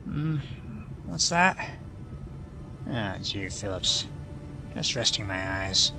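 Man playing a spaceship crewman, lively delivery. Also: on the low side, slow pace, background noise. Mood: drowsy, easygoing. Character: easygoing, jumpy spaceship crewman on watch duty. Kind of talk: audio drama acting.

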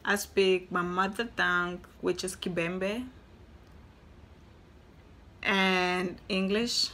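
Chatty woman vlogger, bright voice. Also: fairly low pitch, slow pace. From Tanzania. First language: Swahili. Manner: chatty, animated, repeats herself for emphasis. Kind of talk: casual talk to camera. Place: small indoor room.

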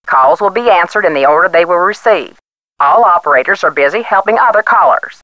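A woman's voice: fairly low pitch, animated, fairly quick, a bit bright, no room sound, dull audio. A woman playing an impersonal police hotline announcer reading a scripted hold message in a game. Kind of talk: scripted automated phone message.

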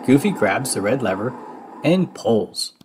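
A man narrates a children's storybook in an expressive read-aloud style. His delivery is lively in melody and takes its time. Clear background noise runs under his voice. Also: medium pitch, unhurried. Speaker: storybook reader.

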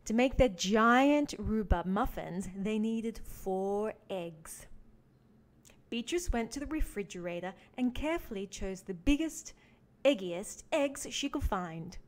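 A woman reading a children's picture book aloud as a teaching artist, with expressive emphasis for young listeners. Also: mid-range voice, slow pace. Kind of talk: animated children's storybook read-along.

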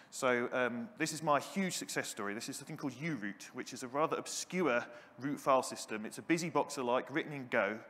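A man's voice: medium pitch, a bit bright. A middle-aged man giving an enthusiastic, self-deprecating technical keynote on a conference stage, with frequent ums. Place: large conference hall stage. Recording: hall with long reverb.

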